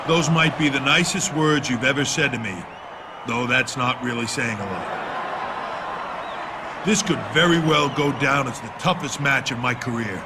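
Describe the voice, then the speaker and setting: A man's voice: mid-range voice, moderate pace, over a noisy crowd, close to an everyday voice. A man playing a confident champion wrestler, giving a scripted acceptance speech with dry, self-assured humor. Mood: wry, proud. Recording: noisy game capture with background ambience.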